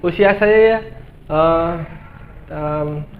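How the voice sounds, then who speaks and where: Guy in his 30s, bit high voice, hesitant, thin phone-ish audio with a hum. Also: extremely slow. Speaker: church multimedia volunteer. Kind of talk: testimony interview answers. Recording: tinny lo-fi sound with clear hum.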